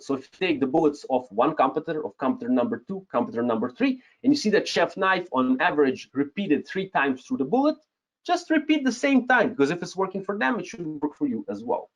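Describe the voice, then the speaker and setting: A Russian-accented man in his thirties leads a live online class, his voice sitting a little high for a man. He keeps checking in with viewers, tags his points with "yes?" and chuckles between them. The webcam sound is a bit dull and lo-fi. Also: fairly quick. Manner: lively, talks to the chat, frequent "yes?" tags and chuckles. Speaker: webinar host, Amazon seller coach. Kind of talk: live webinar teaching. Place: home room, indoors. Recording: slightly dull lo-fi webcam audio.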